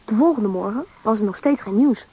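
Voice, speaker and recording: Kid narrator for a radio play, plain storytelling, highish, muffled recording. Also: female voice, fairly quick, warm tone, breathy edge. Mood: reflective storytelling. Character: young child narrator recounting an adventure. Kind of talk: scripted radio drama narration.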